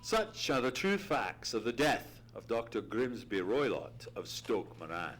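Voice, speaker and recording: A man's voice: mid-range voice, sing-song, unhurried, fairly bright tone, faint music behind. A man playing an earnest, easily horrified doctor narrating an old-time radio mystery in formal, theatrical style. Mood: solemn. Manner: theatrical, suspenseful, formal storytelling. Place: theatre stage, live radio play.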